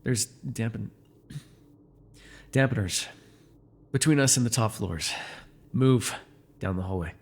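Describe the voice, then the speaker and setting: A man acting a menacing, low-voiced supervillain. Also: breathy edge, exaggerated voice. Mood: controlled, commanding. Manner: taunting, commanding, deliberate. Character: menacing, controlling male supervillain, mocking and vengeful. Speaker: voice actor. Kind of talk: immersive ASMR roleplay acting.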